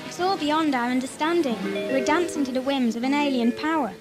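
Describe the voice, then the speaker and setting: Doubting female corporate accomplice, played by an actress: high voice, wry unease, sci-fi audio drama, heavy music. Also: brisk, lightly acted. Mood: uneasy, sardonic. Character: uneasy, sardonic female executive Liston. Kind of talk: radio drama acting. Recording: loud music behind the voice.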